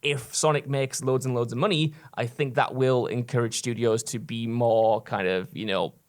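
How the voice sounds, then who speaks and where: A man's voice: medium pitch, fairly even intonation, moderate pace. A man co-hosting a podcast, explaining his points at length in a loose, conversational way. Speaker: podcast co-host. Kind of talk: casual panel discussion.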